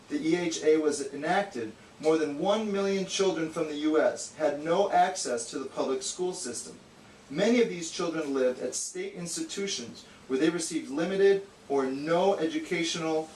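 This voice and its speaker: A man's voice: fairly high pitch, animated, moderate pace, a little mellow, close to an everyday voice. A man voicing a bookish, exasperated know-it-all puppet, explaining in a didactic, slightly condescending way in an amateur educational puppet show. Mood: earnest, didactic. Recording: echoey room, distant mic, hissy noise.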